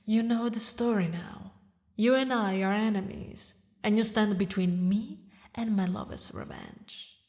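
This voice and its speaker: Woman voicing a slow-spoken, emotional demon queen. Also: mid-range voice, darkish tone, close to an everyday voice. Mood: stern, disbelieving. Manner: dramatic, halting, breathy whispers, emotional. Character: grieving vengeful demon queen, tender toward lost female lover. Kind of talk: scripted romantic audio roleplay.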